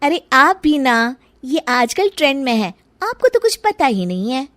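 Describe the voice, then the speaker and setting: Woman voicing a young daughter-in-law, high pitch, cartoon story, expressive, sweet-talking, sassy, close dry recording. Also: measured pace, no room sound, exaggerated voice. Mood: breezy, defensive. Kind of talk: animated Hindi moral story acting.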